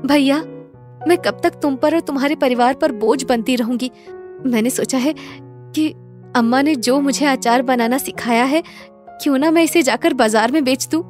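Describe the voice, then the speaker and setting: A woman voicing a gentle young daughter-in-law with an earnest, pleading manner, in a moral story. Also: high-pitched, normal speed, soft background music, natural voice.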